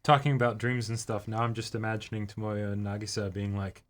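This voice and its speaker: A man's voice: lowish voice, level tone, fast. A man co-hosting a reaction show in casual chat, thinking aloud as he explains and checking for agreement. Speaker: co-host of an anime reaction show.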